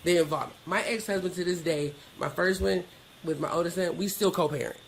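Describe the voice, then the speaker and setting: Woman, middle-aged, American accent, fry in the voice, candid storytelling, colloquial, some background noise. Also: fairly low pitch, a little fast, rough recording. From Georgia, United States. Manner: emphatic, colloquial. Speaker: interview guest.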